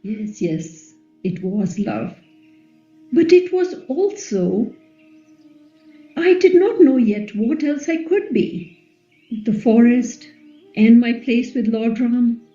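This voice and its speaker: A woman playing a devoted, wounded epic queen in a play reading, speaking in a measured, reflective and solemn way, quietly resolute. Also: fairly high pitch, expressive, slightly rough, highs cut off, soft background music, heavily acted. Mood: tender, reflective. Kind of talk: play reading, radio drama.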